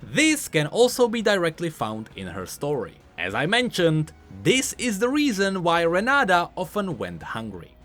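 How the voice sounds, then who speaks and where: A man's voice: a little high, huge pitch swings, moderate pace, fairly bright tone, faint music behind. A man giving scripted explainer commentary as a video host, in an explanatory, emphatic manner.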